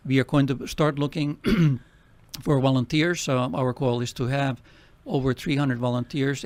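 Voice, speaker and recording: Earnest Finnish-accented man, halting speech. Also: mid-range voice, darkish tone, dry recording. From Finland. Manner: halting, many fillers, earnest. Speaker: nonprofit president, radio guest. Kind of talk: radio interview.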